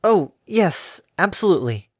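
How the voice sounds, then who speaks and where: A man's voice: high-pitched, sing-song, measured pace, breathy edge, dry recording, clean studio sound, voice pushed up, exaggerated voice. A man voicing a secretive time-traveling pegasus mare who speaks politely and evasively. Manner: polite, evasive, nervous chuckles.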